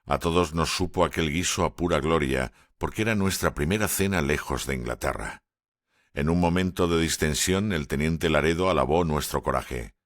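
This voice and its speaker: A male audiobook narrator with a very deep, low voice reads in a measured, steady way. The recording is dry and close, with no room sound. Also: no echo. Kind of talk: scripted first-person narration.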